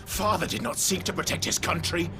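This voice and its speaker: A man's voice: high voice, fairly even intonation, a little fast, vocal fry. A man voicing an earnest, battle-hardened warrior son in an intense game cutscene, music behind. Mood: wounded, accusing. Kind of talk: dramatic video game cutscene acting. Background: orchestral game music.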